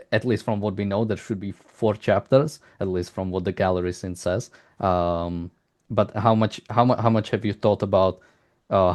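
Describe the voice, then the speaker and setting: A man conducting a casual interview, asking long, rambling questions in a halting way. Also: low voice, a little mellow.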